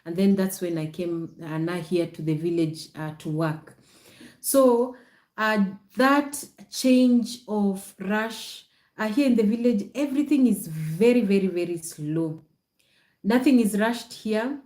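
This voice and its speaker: A woman with a Kenyan accent hosts a casual livestream at an unhurried, slow pace. She explains things patiently to viewers and often repeats her phrases. The sound is noticeably echoey. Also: mid-range voice, a little mellow. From Nakuru, Kenya. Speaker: content creator hosting a livestream.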